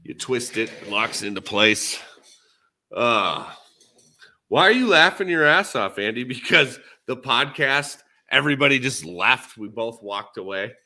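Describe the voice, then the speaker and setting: A man hosting a podcast, talking casual shop in a laid-back, halting way, thinking aloud as he goes. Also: medium pitch, lively intonation, sharp tone. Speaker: podcast host. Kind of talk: casual shop talk on a livestream.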